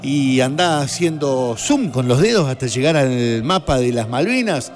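A man's voice: medium pitch, animated, unhurried, faint music behind. A man with an Argentine accent hosting a radio and TV show, chatting casually and explaining things to listeners with enthusiasm. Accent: Argentine Spanish.

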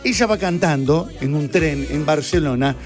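A chatty, animated man, hosting a casual studio talk show. His voice sits a little high for a man. Soft music plays faintly under the talk. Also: normal speed. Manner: chatty, animated, reads out viewer messages. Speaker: show host.

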